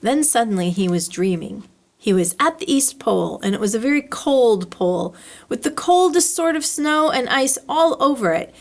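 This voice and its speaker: A woman reading a children's story aloud as a storyteller, expressively, drawing out words for effect. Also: fairly high pitch. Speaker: library storyteller.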